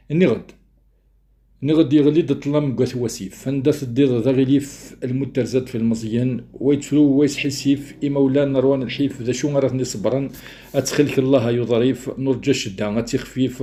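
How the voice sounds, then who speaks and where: A man's voice: mid-range voice, dark tone. A man with a Kabyle accent solemnly reciting a poem of mourning in rhythmic verse. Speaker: amateur poet. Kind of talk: poetry recitation, elegy.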